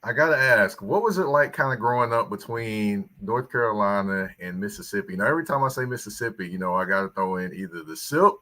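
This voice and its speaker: A man hosting a podcast interview, asking questions in a relaxed, laid-back way. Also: fairly low pitch, a little fast, few pauses. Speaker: podcast host. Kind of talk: casual interview chat.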